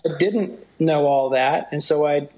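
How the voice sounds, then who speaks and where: A middle-aged man with a low, fairly steady voice tells stories in an unhurried interview. His pitch sits a little above most men's, and he sometimes lifts it when he quotes someone else. He keeps an easy storytelling pace. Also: rough recording. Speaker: motocross broadcaster. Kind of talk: reflective interview talk.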